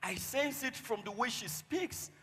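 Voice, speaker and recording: A man's voice: very high-pitched, animated, a little slow, a bit bright, exaggerated voice. A man acting a nervous, loyal servant in a stage play, stammering and deferential. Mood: earnest, deferential. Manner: stammering, repeats words, deferential. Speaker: stage actor. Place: theatre stage in a hall. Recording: reverberant hall.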